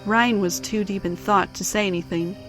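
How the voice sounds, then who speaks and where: A woman's voice: medium pitch, fairly even intonation, moderate pace, music in the background. A woman reading scripted storybook narration for an audio drama.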